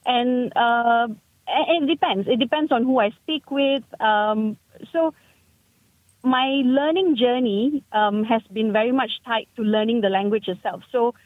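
A woman, calling in over a tinny, phone-thin line. She speaks in a slightly high voice, halting and thoughtful, often pausing to find the right word. The sound is dry and close. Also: no room sound. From Malaysia. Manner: halting, thoughtful, searches for words. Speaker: caller. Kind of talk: call-in radio chat.

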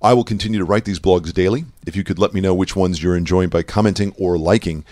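A man with a low voice reads his own blog aloud as one of the hosts of a comic podcast. He delivers it dry and deadpan, in a flat narrating tone. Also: quick pace. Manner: dry deadpan reading, breaks into laughs. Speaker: podcast co-host.

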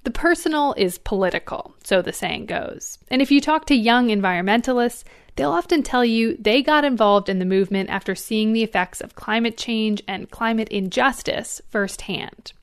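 A woman hosting a radio news magazine, reading a scripted introduction in a measured, even way. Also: on the high side, smooth. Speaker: radio show host.

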